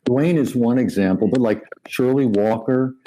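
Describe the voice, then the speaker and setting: A man's voice: medium pitch, moderate pace, dark tone. A man reminiscing casually as a guest, speaking in an earnest and appreciative manner. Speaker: voice actor guest. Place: remote video call. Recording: noisy video call audio with clicks.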